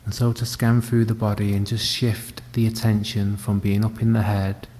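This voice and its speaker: A man leads a guided body scan meditation, speaking calmly and gently with long, unhurried pauses. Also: fairly low pitch. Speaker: meditation teacher. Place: indoor room at a wellness centre. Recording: reverberant hall, faint background noise.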